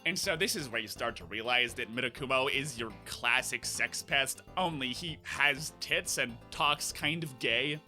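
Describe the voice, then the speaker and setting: Wry, bright-voiced male video essayist. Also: medium pitch, moderate pace, soft background music. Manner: sarcastic, wry and conversational. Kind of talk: scripted video essay narration.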